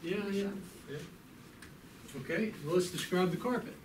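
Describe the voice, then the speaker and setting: A middle-aged man, an extension agent, teaching a hands-on class in a relaxed, folksy way and asking the group questions. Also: high voice, normal speed, background noise. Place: small classroom with hard walls. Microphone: far camera. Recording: echoey room from a distant camera.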